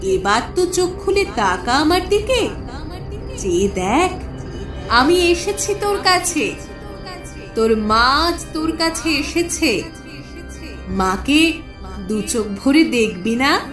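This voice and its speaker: A high, unhurried female voice of a majestic mother goddess played by an actress, wrapped in echo. She is tender and solemn, in mythic audio drama style. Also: big pitch swings, full character voice. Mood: tender, serene. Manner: affectionate, solemn blessing. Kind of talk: mythic audio story acting. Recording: echoing voice under loud music.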